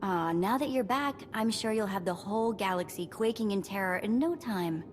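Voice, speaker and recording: An actress playing a sassy, playful thief in a video game, talking in a casual, slangy, teasing way. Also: female voice, medium pitch, moderate pace, a little hiss or hum, lightly acted. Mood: warm, chatty. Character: sassy, playful female thief, breezy and streetwise. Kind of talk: video game cutscene acting. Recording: long reverb, music behind.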